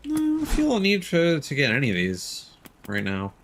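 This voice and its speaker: A man's voice: a little high, wildly swooping pitch, fairly bright tone, slight background noise. A man streaming a video game, thinking aloud in casual commentary. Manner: musing aloud, unhurried asides. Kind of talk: casual livestream gameplay commentary. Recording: game ambience behind voice. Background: faint game ambience.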